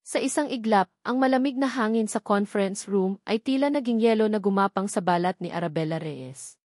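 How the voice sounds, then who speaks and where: Lady narrator doing a dramatic love story, expressive, really dry sound no room. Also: medium pitch, a little fast, no echo.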